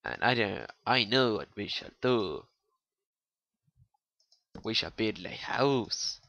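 A man's voice: fairly low pitch, expressive, slow pace, creaky, bright, forceful tone, dull audio. A young man with a non-native English accent, rambling and swearing casually as he comments on his own gameplay. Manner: rambling, swearing, joking to viewers. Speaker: gaming YouTuber.

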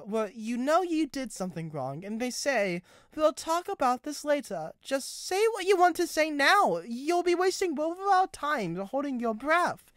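Woman as shy female engine character, high small voice, hesitant, wounded, storybook drama, dry recording. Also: dry recording. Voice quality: quiet, small voice. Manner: hesitant, emotional, rambling.

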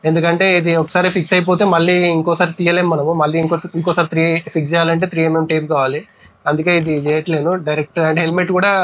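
A man's voice: fairly high pitch, fairly bright tone. A man in his twenties with a Telangana Telugu accent, giving a casual how-to vlog talk mixed with English. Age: 20s. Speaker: vlogger. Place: outdoors on a tiled house porch. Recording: faint ambient outdoor noise.

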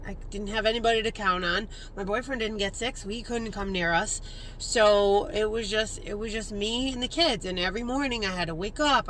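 A woman's voice: medium pitch, a bit bright, slight background noise, poor audio. A woman in her thirties with a congested, sniffly voice, chatting through a casual life-update vlog from a parked car into a handheld mic. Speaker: vlogger. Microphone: handheld karaoke microphone.

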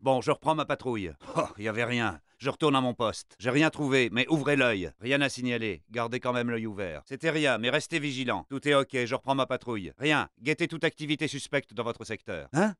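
Guy playing video game sentry, watchful and wary, normal male pitch, really dry recording. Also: expressive, fairly quick, few pauses, fairly bright tone, smooth, no room sound, close to an everyday voice. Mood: suspicious. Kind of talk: video game guard dialogue.

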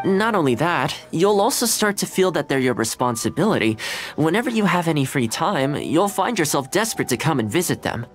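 A man's voice: fairly high pitch, sing-song, fairly quick, faint music behind. An actor playing a friendly young man in an anime video game, cheerful, polite and laid-back. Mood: warm, pleased. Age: young adult. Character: friendly, easygoing young male anime housekeeper. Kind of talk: scripted video game dialogue.

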